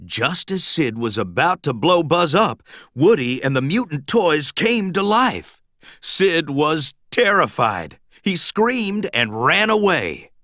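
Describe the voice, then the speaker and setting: A man reading a children's storybook aloud in an expressive, dramatic, emphatic storytelling manner. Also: on the high side, slow pace, dry recording, studio quality. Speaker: storybook narrator.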